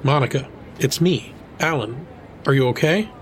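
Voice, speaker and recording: A man's voice: medium pitch, moderate pace, noisy background, natural voice. A man acting an earnest, cautious searcher in an audio drama, speaking hesitantly, with music under the voice. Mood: searching, tentative.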